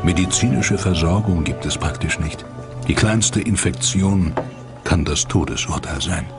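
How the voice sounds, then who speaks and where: A man's voice: low voice, unhurried, vocal fry, over music. A man narrating a dramatic documentary from a script.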